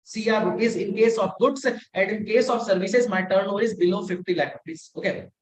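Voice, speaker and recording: A man's voice: high voice, brisk. A man with an Indian English accent giving a live online lecture, explaining step by step and repeating himself. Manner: explains step by step with many repetitions, mixing in Hindi. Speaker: webinar instructor. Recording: echoey room sound.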